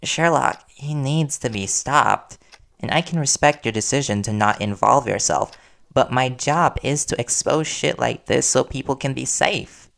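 A man playing a worried, devoted young reporter boyfriend in an intimate audio roleplay, speaking earnestly and soothingly with hesitant pauses. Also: medium pitch, gravelly, a bit bright. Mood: urgent pleading.

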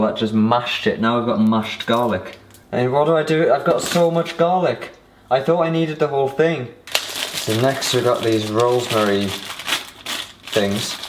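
A young man in a home kitchen, chatting casually and with self-mocking bemusement as he fumbles through a recipe. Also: medium pitch. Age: young adult. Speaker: vlogger. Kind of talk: casual cooking vlog. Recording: cooking noise behind.

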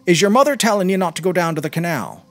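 Man acting an inquisitive, animated young sailor. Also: high-pitched, quick pace, soft background music, voice pushed up. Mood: probing, surprised. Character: young seafaring man, inquisitive, returning home.

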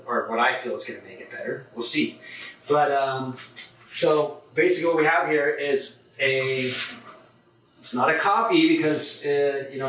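A man's voice: mid-range voice, fairly bright tone. Middle-aged man, echoey workshop vlog. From San Diego, California. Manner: loose and rambling, many fillers and restarts. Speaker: surfboard shaper. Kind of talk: casual board review vlog. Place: surfboard workshop room, indoors. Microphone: camera mic from a few meters away. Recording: echoey room, distant camera mic, faint noise.

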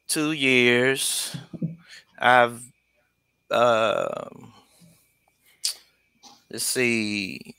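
A man's voice: medium pitch, sharp tone, clean tone, no room sound. A man in his forties from San Diego, a college teacher, answering interview questions over a video call with a headset, hesitant with many fillers and small laughs. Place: home room indoors. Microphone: headset mic on video call.

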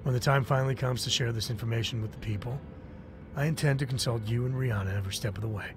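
Measured, diplomatic mercenary patron played by a man. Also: mid-range voice, over music, natural voice. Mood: earnest, measured. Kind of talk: video game cutscene acting.

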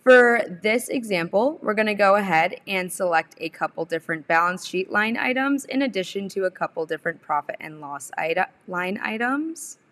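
A young adult woman presenting a software tutorial, explaining each step in an informal, instructional way. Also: medium pitch, lively intonation, normal speed, hardly any pauses. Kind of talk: instructional screen-share walkthrough.

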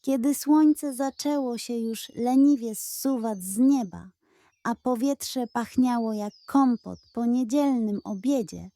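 A woman's voice: on the high side, dark tone, no room sound. A woman narrating a scripted bedtime fairy tale for children as the storyteller.

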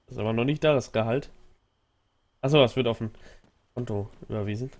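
A man's voice: medium pitch, fairly quick. A young man with a stuffy nose from a cold, rambling casually and thinking aloud while he streams a game on a headset mic at home. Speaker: livestreaming gamer. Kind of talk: casual let's play commentary. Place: home gaming setup indoors.

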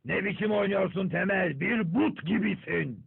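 An actor playing a bossy, villainous male film director in a cartoon, gravelly and theatrical, barking orders and muttering slyly. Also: high voice, moderate pace, heavily acted. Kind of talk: theatrical Turkish cartoon dubbing. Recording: muffled lo-fi cartoon soundtrack with music.

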